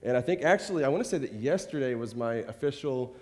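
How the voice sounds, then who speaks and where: A man's voice: mid-range voice, animated, fast talker. A man preaching to his church while nursing a cold, speaking in a loose, rambling way full of fillers. His voice sits in the middle range for a man. It rings out with the long tail of a large sanctuary. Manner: warm rambling talk with many fillers and repeated "I tell you". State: has a cold, occasional cough. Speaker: pastor. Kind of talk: informal church address. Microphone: handheld or stage microphone. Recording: reverberant church hall.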